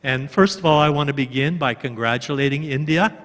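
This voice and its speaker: A man in his seventies from Hawaii, a professor opening a panel talk with courteous, gently humorous remarks. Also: medium pitch, a little fast. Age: 70s. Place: large conference hall. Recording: reverberant conference hall.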